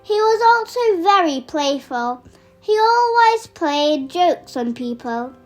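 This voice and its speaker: A young-sounding storyteller reading scripted children's fables aloud in a deliberate way. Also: very high-pitched, sing-song, faint music behind.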